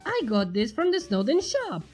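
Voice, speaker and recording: A woman voicing a pompous, excitable male skeleton in a story reading, proclaiming everything emphatically. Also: on the high side, moderate pace, faint music behind, voice pushed up. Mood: proud, happy.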